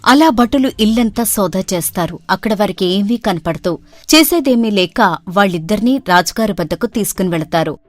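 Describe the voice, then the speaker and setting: A woman storyteller narrating a comic bedtime story in a steady, plain way. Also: mid-range voice, moderate pace, no room sound.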